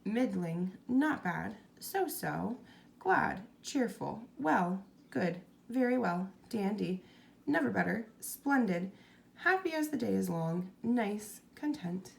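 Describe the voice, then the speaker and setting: A woman reading a children's storybook aloud as narrator, in an expressive scripted style. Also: mid-range voice, takes it slowly, creaky.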